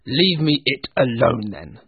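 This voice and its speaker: A man voicing a mean, cold old miser in a storybook, gruff, curt and scornful. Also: mid-range voice, sing-song, unhurried, no echo, exaggerated voice. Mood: sour, dismissive. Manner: curt, barking, dismissive. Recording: thin, phone-like narrow-band sound.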